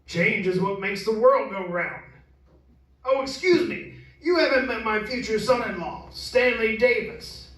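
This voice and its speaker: A man's voice: high voice, lively intonation, a little slow, a bit bright. An actor playing a blunt, bossy, wealthy middle-aged father in an amateur old-time radio drama. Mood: brash, impatient. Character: blunt, wealthy, brash father who bought an old house. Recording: echoey room, distant microphone.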